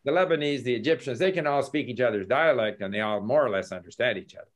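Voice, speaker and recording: A man's voice: mid-range voice, fast talker. An older man telling travel stories in a casual video call from his home study, talking with his hands and chuckling. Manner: animated storytelling with gestures, chuckles. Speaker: language learning host. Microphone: laptop webcam video call.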